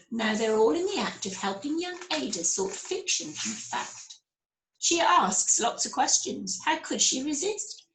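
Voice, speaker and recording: Echoey room, lady doing storytime for kids, slow and really expressive. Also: on the high side. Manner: expressive, deliberate phrasing. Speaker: primary school storyteller. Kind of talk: animated picture book read-aloud for children. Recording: roomy, echoey sound.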